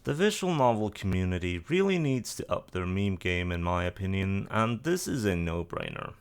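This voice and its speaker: Male narrator, lowish register, highly animated pitch. Informal video-essay host, dryly sarcastic. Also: moderate pace, smooth. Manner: wry, sarcastic asides.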